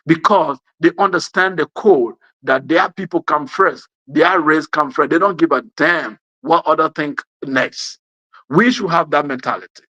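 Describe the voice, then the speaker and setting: A man's voice: fairly high pitch, a little slow, bright, forceful tone, no room sound, low-quality recording. A man with a West African accent gives an impassioned political speech, emphatic and repeating phrases for effect. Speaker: speaker giving an address.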